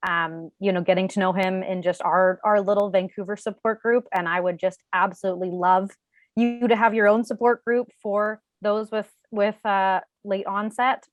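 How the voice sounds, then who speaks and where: A woman's voice: mid-range voice, dry recording, muffled recording. A woman from a support group giving a fluent, earnest tribute on a video call. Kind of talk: heartfelt tribute.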